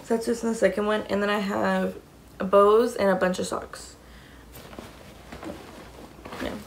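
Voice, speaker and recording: A young woman talks into a close camera in a small room, her voice raspy with gravelly fry. She rambles through a casual show-and-tell full of "um" and "like." A faint hiss sits under the recording. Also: medium pitch, flat delivery, measured pace, poor audio. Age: young adult. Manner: chatty, rambling, many "um" and "like". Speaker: mom vlogger. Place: small indoor room against a plain wall.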